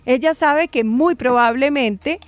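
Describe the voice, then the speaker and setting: A woman's voice: high-pitched, slow pace, no room sound. A woman on a radio show commenting on a news story with emphatic rhetorical questions.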